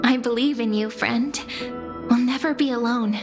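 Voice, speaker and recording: An actress playing a gentle, hopeful young heroine in a video game, speaking with earnest tenderness. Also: female voice, fairly high pitch, normal speed, music in the background, natural voice. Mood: tender, hopeful. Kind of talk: dramatic game voice acting.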